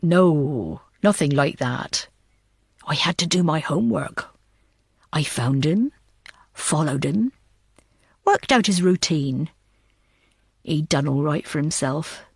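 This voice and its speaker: A woman voicing a middle-aged male ex-prisoner in an audiobook, telling his story in a measured, self-satisfied way. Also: low voice, lively intonation, creaky, husky, dry recording, clean studio sound, pitched below the performer's own voice, exaggerated voice. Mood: calm, reminiscing. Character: middle-aged male ex-prisoner, desperate, smugly reminiscing to interrogators.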